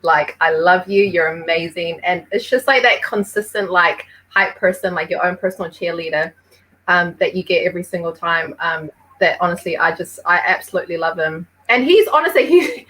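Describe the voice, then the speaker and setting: A woman's voice: lowish voice, pushed and bright, low-quality recording. A woman from Peru, a podcast guest, talking casually with lots of fillers. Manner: chatty, many fillers, laughs easily.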